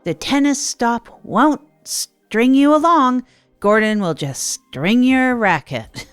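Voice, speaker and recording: A woman's voice: a little high, lively intonation, slow pace, clean tone, soft background music. A woman playing a hapless amateur comedian, telling stories in a rambling, earnest, self-deprecating way for an audio drama. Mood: breezy presenting. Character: Tog, hapless adult storyteller and failed stand-up comedian. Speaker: narrator and radio host. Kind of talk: improvised comic monologue in an audio drama.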